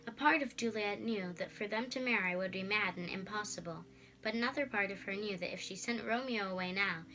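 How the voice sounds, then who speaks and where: A young girl giving an expressive scripted reading of a story as narrator. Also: mid-range voice, brisk, soft background music, low-quality recording. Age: child.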